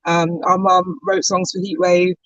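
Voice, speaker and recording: A woman's voice: on the low side, flat delivery, measured pace, fairly bright tone, no echo, highs cut off. A woman singer-songwriter chatting as an interview guest, talkative with lots of fillers and easy laughter. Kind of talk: casual interview chat.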